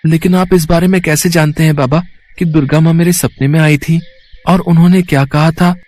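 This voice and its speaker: A man playing a devout, gentle village boy, a protective older brother who is polite and earnest. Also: a little high, steady tone, a little fast, background noise, lightly acted. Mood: puzzled.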